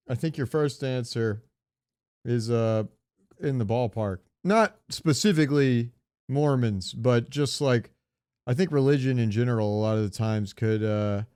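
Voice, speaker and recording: Clear-voiced man in casual joking podcast chat. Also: medium pitch, a little mellow, no echo, studio quality. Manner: profane, joking. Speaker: podcast co-host. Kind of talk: casual comedic podcast chat, reading out answers.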